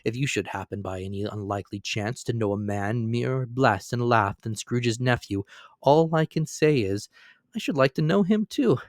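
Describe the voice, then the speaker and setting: A man's voice: mid-range voice, lively intonation, moderate pace, dry recording, studio quality. Man giving a theatrical storybook reading. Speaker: narrator. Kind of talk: dramatic reading of a classic novel.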